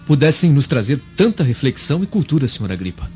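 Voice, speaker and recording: A man acts as a deferential, reflective servant in a radio drama. His voice sits at a middle pitch and his phrasing is measured and respectful. Faint music plays under a slightly dull recording. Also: big pitch swings, mellow, lightly acted. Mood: respectful, thoughtful. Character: thoughtful, deferential male slave and confidant.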